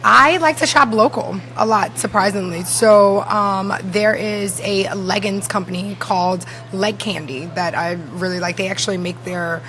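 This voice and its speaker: Raspy-voiced woman, easygoing guest chat. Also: mid-range voice, normal speed, hardly any pauses, sharp tone, a little hiss or hum, poor audio. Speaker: traffic reporter and wellness business owner.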